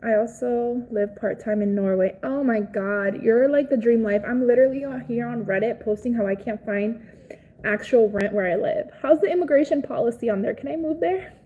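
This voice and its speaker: A young woman from Cuba, raised in Florida, chatting casually on a live stream, greeting viewers by name and reacting excitedly. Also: a little high, normal speed, a little hiss or hum. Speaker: livestream host.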